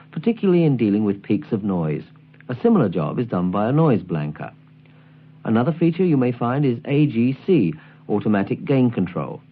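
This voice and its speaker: A man presenting a scripted instructional guide, explaining things in a clear, didactic way. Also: medium pitch, phone-quality sound. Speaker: presenter of a shortwave listening guide.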